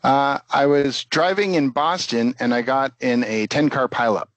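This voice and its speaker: A man's voice: mid-range voice, unhurried, dry recording. A man giving an informal live product demo in the role of presenter.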